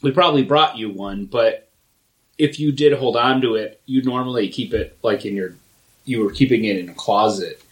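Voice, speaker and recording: A man guesting on a podcast, talking in halting, hesitant phrases. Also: medium pitch, low-quality recording. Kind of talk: casual podcast chat.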